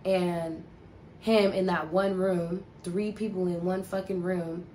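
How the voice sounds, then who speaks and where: A woman's voice: lowish voice, little pitch movement, slow, dark tone, slight background noise. A young woman telling a casual storytime, rambling and profane with lots of filler words. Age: young adult. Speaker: vlogger.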